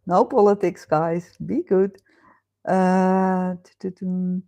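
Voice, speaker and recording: Slow-talking Dutch woman chatting live. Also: fairly low pitch, little pitch movement, dark tone. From Netherlands. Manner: chatty, laughs often, thinks aloud with fillers. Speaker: livestream host. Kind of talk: casual live chat reading viewer comments. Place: home.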